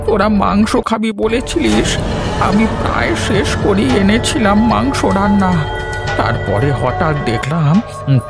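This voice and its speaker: A man playing a frightened, kindly old village grandfather in a horror cartoon, with an anxious, pleading delivery and a creaky voice. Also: sits high, animated, measured pace, over loud music, full character voice. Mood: shaken, fearful. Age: older.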